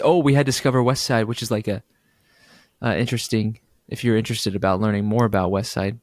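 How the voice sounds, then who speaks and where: Male co-host, casual podcast chat, mid-pitched, dry close mic. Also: darkish tone, no room sound. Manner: playful, laughs often, recaps a church sermon series.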